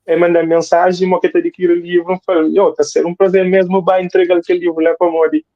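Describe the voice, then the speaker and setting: A man's voice: high-pitched, flat delivery, fast, dry recording, muffled recording. A man from Cape Verde, talking casually as a guest author, rambling and repeating phrases for emphasis. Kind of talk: casual interview talk.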